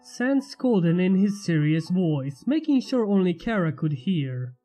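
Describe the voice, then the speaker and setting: A woman's voice: fairly low pitch, very animated, measured pace, warm tone, soft background music. A woman narrating fan fiction as a channel host, reading scripted prose expressively.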